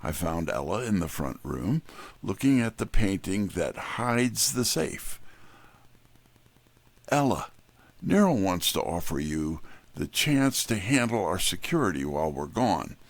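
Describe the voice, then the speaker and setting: Slow, creaky man as a detective's sidekick. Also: sits low, very animated, no room sound, exaggerated voice. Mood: wry narration. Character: wry, candid male detective's sidekick narrator. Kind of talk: first-person scripted detective narration.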